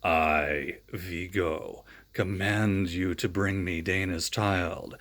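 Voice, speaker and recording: A menacing ancient sorcerer speaks from inside a painting, as a man reading a storybook puts him on. His voice drops far below ordinary talk and crawls along slowly, each word a command. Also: steady tone, fairly bright tone, full character voice. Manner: slow, deep, commanding.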